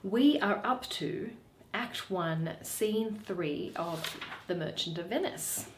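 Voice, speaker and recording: Unhurried woman reader in an echoey room. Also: on the low side, animated, background noise. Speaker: host and reader. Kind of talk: scripted reading of a play. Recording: roomy echo.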